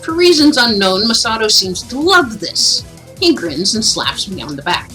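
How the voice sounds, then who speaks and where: A woman narrates a story game in a bright, fairly high voice. Her reading is theatrical and moves around a lot in pitch. Music plays behind her. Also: very animated, normal speed. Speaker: streamer reading a visual novel aloud.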